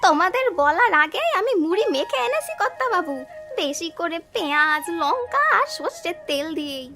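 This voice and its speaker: Woman playing a cartoon maid, extremely high voice, familiar, eager, folksy, music behind. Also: big pitch swings, normal speed, bright, forceful tone, heavily acted. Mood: cheerful, familiar. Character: loyal, chatty female household maid, eager and familiar. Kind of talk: animated cartoon story dialogue.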